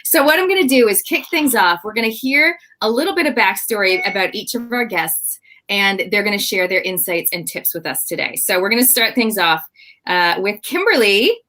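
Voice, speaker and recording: A woman's voice: medium pitch, expressive, moderate pace, pushed and bright. A woman with a Canadian accent hosting a livestream panel over a video call, introducing guests with enthusiasm. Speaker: livestream host.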